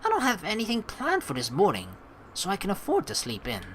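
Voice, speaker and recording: A woman's voice: mid-range voice, huge pitch swings, a little fast, a bit bright, a little hiss or hum. A woman hosting a let's play, switching between reading game narration aloud and playful, teasing commentary.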